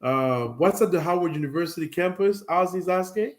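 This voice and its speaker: A man from Cameroon with a French-tinged Cameroonian accent joins a livestream as a friendly guest, his voice sitting a little high for a man. He chats casually and chuckles easily. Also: a little fast. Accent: French-influenced Cameroonian English. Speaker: guest, co-host.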